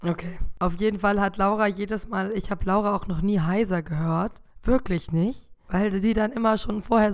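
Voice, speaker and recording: A woman co-hosting a casual podcast, explaining things in a relaxed, teasing way. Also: medium pitch. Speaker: podcast co-host.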